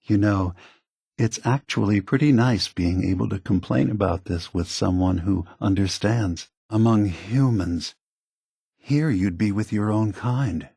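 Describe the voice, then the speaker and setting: An actor playing a calm, weary, philosophical android leader in a video game, speaking in a measured, gentle way with a husky voice. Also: male voice, on the low side, no room sound, clean studio sound, heavily acted. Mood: gentle, warm. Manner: measured, deliberate, gentle, reflective.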